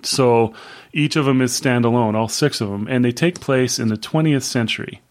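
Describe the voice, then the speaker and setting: A man in his fifties co-hosting a book podcast, talking in a casual, rambling way with many pauses and fillers. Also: mid-range voice. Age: 50s. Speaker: podcast co-host.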